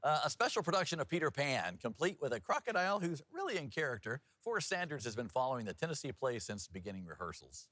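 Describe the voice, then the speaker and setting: A man's voice: medium pitch, sing-song, quick pace, hardly any pauses, fairly bright tone, no echo. A man anchoring TV news, moving between scripted reading and loose, joking banter. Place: TV news studio.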